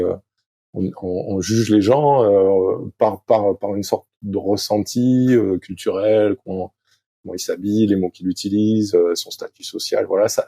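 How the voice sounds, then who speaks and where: Low gravelly man, halting speech. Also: mellow, no room sound. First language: French. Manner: halting, many fillers and restarts. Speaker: guest, sailing coach. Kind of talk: podcast interview, thinking aloud.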